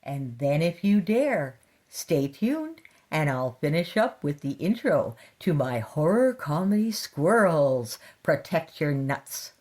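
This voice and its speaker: A woman's voice: deep voice, sing-song, slow, a little mellow. A woman hosting a horror fiction podcast, reading a scripted introduction in a theatrical, playfully sinister manner. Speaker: podcast host.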